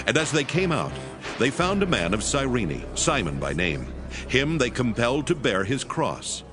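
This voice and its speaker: Deep solemn male scripture narrator. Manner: solemn, measured reading.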